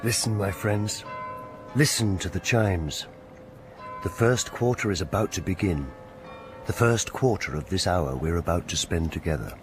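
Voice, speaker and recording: An actor playing a solemn, poetic storyteller, narrating a radio drama in a lyrical, earnest way. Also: male voice, sits low, expressive, a little slow, music in the background. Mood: inviting. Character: solemn, poetic storyteller addressing his listeners.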